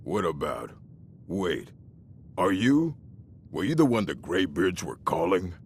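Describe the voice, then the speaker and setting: Casting: a grumbling, condescending old orc librarian for a fantasy game, extremely low, creaky and animated. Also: male voice, unhurried, a little hiss or hum, exaggerated voice. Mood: grudging. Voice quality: deep, gravelly. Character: gruff, curmudgeonly old orc college librarian, scholarly and protective. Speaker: game character. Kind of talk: video game voice acting.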